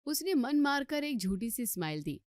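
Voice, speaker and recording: Woman, storyteller, scripted family tale narration, higher pitch, dry sound. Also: sing-song, normal speed, darkish tone, no room sound.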